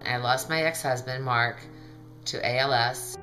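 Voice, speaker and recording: A woman in her fifties, speaking in a measured, sober way in a documentary interview. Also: rumbling low, fairly even intonation, over music, rough recording. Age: 50s.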